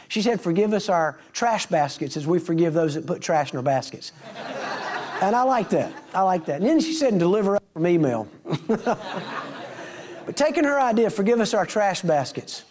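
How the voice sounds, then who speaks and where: Fast-talking preacher man. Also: high-pitched. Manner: folksy, animated storyteller acting out dialogue. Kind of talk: storytelling sermon to a congregation.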